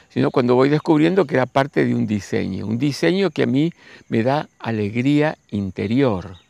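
A man, giving a slow, musing spiritual monologue and speaking straight to his listeners. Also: medium pitch, gravelly, a little mellow, no echo. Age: 60s. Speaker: spiritual teacher.

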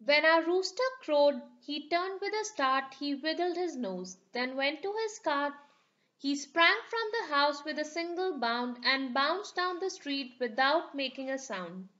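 Bright high-voiced woman reading a storybook. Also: lively intonation, unhurried, lo-fi sound. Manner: sing-song rhyming storytelling. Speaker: children's book reader. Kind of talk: storybook read-aloud.

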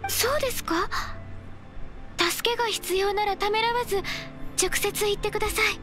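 A gentle horned half-beast maiden from an anime game. She is modest and formal, with a very high, soft voice and music clearly behind. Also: normal speed. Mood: kind, reassuring. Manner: soft-spoken, formal, reserved. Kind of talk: Japanese anime-style game dialogue.

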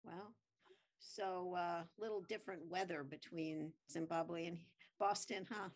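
A woman's voice: lowish voice, fairly even intonation, measured pace. Rambling, friendly woman interviewer. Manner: friendly, rambling questions with many fillers. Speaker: interviewer host. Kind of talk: casual video-call interview. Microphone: webcam on a video call.

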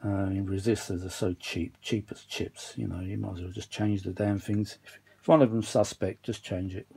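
A tired man with a husky voice, chatting casually and a little exasperatedly in a rambling hobby repair vlog, recorded indoors on a handheld camera. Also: lowish voice, steady tone, moderate pace, mellow. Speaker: vintage audio hobbyist vlogger. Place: indoors, small home workshop. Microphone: handheld phone or camera behind the lens.

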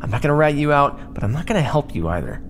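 A man playing a prickly, sarcastic starship crewman in an ASMR roleplay, talking with quick-tempered exasperation. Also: on the high side, sing-song, vocal fry, background noise.

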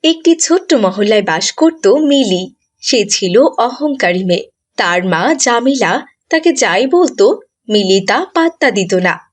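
A woman narrates a children's story from a script. Her voice is on the high side, carrying the tale between the characters' lines. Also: expressive, measured pace.

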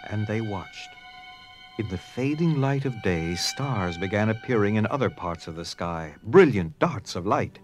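Theatrical male narrator with lively pitch. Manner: theatrical, hushed emphasis, dramatic pauses. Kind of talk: dramatic scripted storytelling narration. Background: music.

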